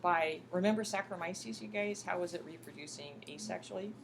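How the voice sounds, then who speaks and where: A woman's voice: lowish voice, fairly quick. A woman lecturing to a college class in a folksy, encouraging way, prompting students with questions as she goes. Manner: folksy, addresses students often as "you guys" and "folks"; encouraging, question-and-answer teaching. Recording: clearly noisy classroom recording.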